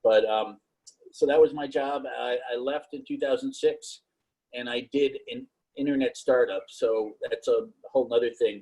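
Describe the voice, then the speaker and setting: A middle-aged man from New York talking about his career in a loose, halting way with lots of fillers. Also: medium pitch, husky, poor audio. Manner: monotone, halting with many fillers. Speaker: panelist. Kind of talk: casual career talk on a video call.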